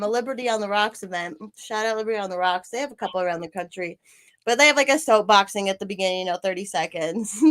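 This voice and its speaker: A woman's voice: mid-range voice, a bit bright. A woman hosting a casual livestream chat, rambling and swearing freely, laughing often. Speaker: podcast host, former city council candidate.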